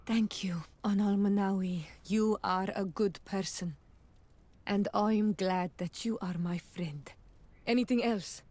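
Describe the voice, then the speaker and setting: A woman's voice: mid-range voice, slow, a little mellow. An actress playing a grieving, earnest villager woman in a video game. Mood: grateful. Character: grieving, earnest native woman, grateful friend. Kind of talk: video game cutscene acting.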